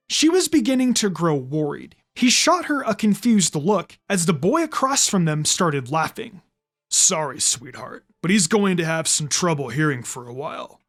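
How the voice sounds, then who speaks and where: A narrator reading an action fan fiction story aloud in a dramatic scripted style, with music behind. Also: medium pitch. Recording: music under the voice. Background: background music.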